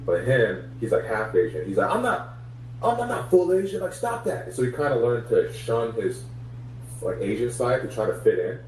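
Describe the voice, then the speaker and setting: Low mellow comedian guy musing casually, roomy echo, faint hum. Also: big pitch swings, normal speed. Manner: reflective, self-analytical, many fillers. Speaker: comedian guest. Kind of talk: casual interview chat. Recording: echoey room, distant mic, faint hum.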